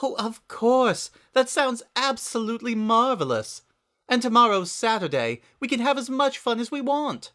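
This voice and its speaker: A man's voice: very high voice, very animated, moderate pace, pushed and bright, voice pushed up, heavily acted. A man voicing a refined, kindly and dramatic teenage fashionista girl, speaking in a genteel, theatrical way. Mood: delighted.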